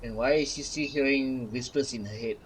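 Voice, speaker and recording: A man's voice: medium pitch, a little fast, slight background noise, rough recording. A man giving casual reaction commentary as a gaming streamer during a playthrough.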